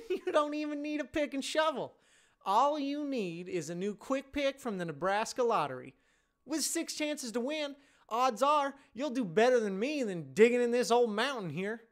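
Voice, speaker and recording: Loud, high old prospector. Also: male voice, very animated, normal speed. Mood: jolly, excited. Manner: hollering, folksy ad pitch. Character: folksy, excitable old male gold prospector.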